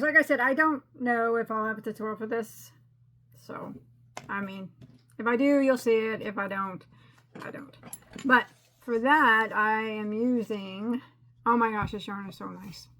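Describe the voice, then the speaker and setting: Bright-voiced chatty crafting woman. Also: on the high side. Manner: rambling and self-deprecating, with frequent restarts and laughs. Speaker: crochet channel host. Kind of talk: casual chatty crafting update.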